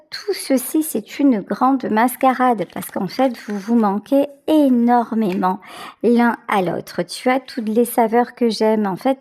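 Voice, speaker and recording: A woman doing an intuitive tarot reading, speaking directly to the viewer and thinking aloud with pauses as she goes. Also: a little high, a little mellow. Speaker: tarot reader, channel host.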